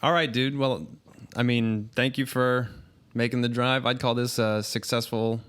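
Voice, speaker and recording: A man's voice: medium pitch, fairly even intonation, measured pace, fairly bright tone, smooth. A man in his mid twenties chatting casually as a podcast co-host, laid-back and slangy, with frequent swearing. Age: mid 20s. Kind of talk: casual chat.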